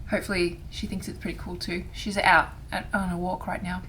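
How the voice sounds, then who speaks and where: A woman hosting her own crafting vlog in casual chat, her voice hoarse and raspy from throat irritation, talking softly and haltingly with frequent restarts. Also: lowish voice, level tone, a little hiss or hum, low-quality recording. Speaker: cross-stitch vlogger.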